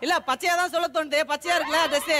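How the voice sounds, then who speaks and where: High, rapid-fire prank caller man. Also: little pitch movement, pushed and bright, a little hiss or hum. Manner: over-polite, keeps repeating "sir". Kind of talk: comic prank phone call.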